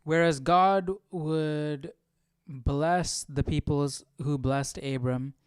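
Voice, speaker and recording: Slow, smooth-voiced man musing aloud. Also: a little high, steady tone, no room sound, clean studio sound. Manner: questioning, musing to himself. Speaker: host of a live Bible study. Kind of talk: casual reading and thinking aloud.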